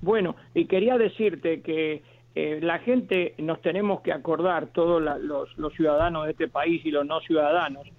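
Un oyente que llama a la radio, con voz de hombre algo aguda. Se traba, empieza de nuevo una y otra vez y habla con sinceridad. Su voz suena metálica y estrecha, a través de una línea telefónica. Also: male voice, on the high side, fairly even intonation. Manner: halting, many restarts, earnest. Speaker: caller. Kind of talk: phone-in call. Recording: tinny phone line.

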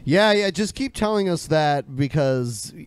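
A man with a clear, smooth voice hosting a podcast in a casual style. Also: fairly high pitch, lively intonation, a little slow, no echo. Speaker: podcast host.

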